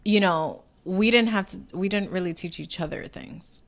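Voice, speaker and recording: A woman's voice: on the low side, moderate pace. A young woman, the younger sister co-hosting a casual chat, blunt and teasing, with casual swearing. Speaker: podcast co-host.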